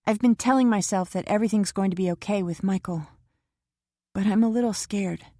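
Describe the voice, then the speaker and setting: A woman voicing an anxious, devoted young woman who talks eagerly and protests quickly in an audiobook. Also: medium pitch, mellow, no room sound, studio quality, natural voice.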